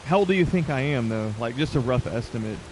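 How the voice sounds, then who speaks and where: A man's voice: mid-range voice, animated, quick pace, darkish tone, slight background noise, rough recording. A man in his mid-thirties chatting casually with viewers as a video game livestreamer, in an informal, conversational way. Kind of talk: casual chat with stream viewers.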